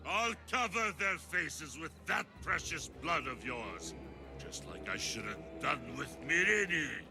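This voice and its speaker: A cruel, menacing crime boss from a video game. His voice sits fairly high and swings widely as he snarls slow, drawn-out threats. Music plays loudly behind him. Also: very animated, full character voice. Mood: menacing, gloating. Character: brutal, foul-mouthed male crime boss, cruel and menacing. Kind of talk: dramatic video game acting.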